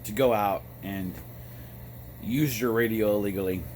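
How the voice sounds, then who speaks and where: An American man talking in a casual, rambling monologue, with a halting delivery that often pauses mid-thought. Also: fairly low pitch, a little mellow. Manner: halting, frequent throat clearing between phrases. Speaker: amateur radio vlogger. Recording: noticeable background noise.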